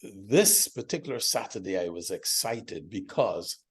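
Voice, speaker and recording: Older man from Guyana, measured storyteller. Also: low voice, animated, husky. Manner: measured, recalls details with many "uh" pauses. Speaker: guest, doctor and company founder. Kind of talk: interview, telling his life story.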